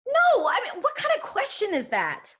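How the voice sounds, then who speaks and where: A self-righteous young activist in a comedy script. Her high voice swings widely in pitch. She is defensive and easily flustered. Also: huge pitch swings, normal speed, a bit bright, exaggerated voice. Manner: defensive, sputtering. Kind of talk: scripted comedy table read.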